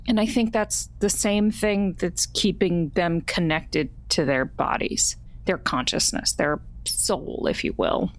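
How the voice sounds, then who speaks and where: A woman playing a tabletop roleplaying game, speaking in character in a halting, improvised way as she searches for words. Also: fairly low pitch.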